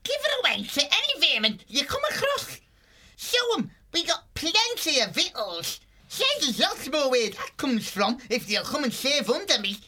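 A narrator voicing a small, hot-tempered fox gang chief with a squeaky voice and rough, bossy, rustic speech in a storybook reading. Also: sing-song, slow, bright, forceful tone, slight background noise, full character voice. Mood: bossy, impatient. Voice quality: squeaky, shrill.

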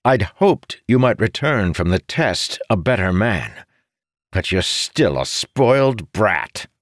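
A man's voice: fairly low pitch, expressive, normal speed, dry recording, clean studio sound, pitched above the performer's own voice, close to an everyday voice. A man voicing a stern, self-righteous elder brother in an audiobook, harsh and preachy. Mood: harsh, reproachful. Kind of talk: audiobook character voice.

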